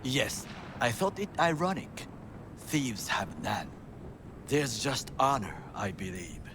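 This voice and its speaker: An actor playing a stern, formal male corporate bodyguard who speaks in clipped, measured sentences and drops into quiet whispers. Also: medium pitch, sing-song. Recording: game audio with clear background noise.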